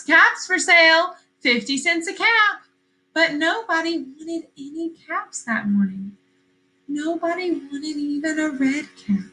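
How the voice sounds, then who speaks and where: A woman's voice: on the high side, low-quality recording. A woman reading a picture book aloud as a teacher to young children, explaining and asking questions as she goes. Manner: explanatory, questioning, carefully enunciated.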